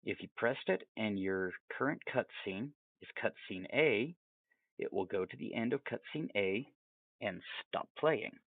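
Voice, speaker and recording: A man giving a casual, off-the-cuff software tutorial, rambling along with chatty, self-amused asides. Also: on the low side, expressive, measured pace, gravelly, dry recording, narrow, tinny audio. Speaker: tutorial presenter.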